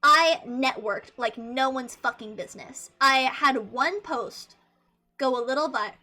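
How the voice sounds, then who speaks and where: A woman in her twenties with a high-pitched voice, chatting casually on a livestream and swearing freely, rambling with many restarts. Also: measured pace, sharp tone, poor audio. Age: 20s. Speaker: VTuber streamer.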